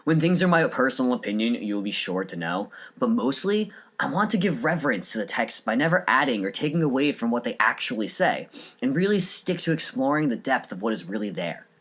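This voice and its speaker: A man's voice: mid-range voice, big pitch swings, a little fast, a bit bright. A man hosting a solo podcast, reading scripted narration in an earnest, enthusiastic way. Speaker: podcast host.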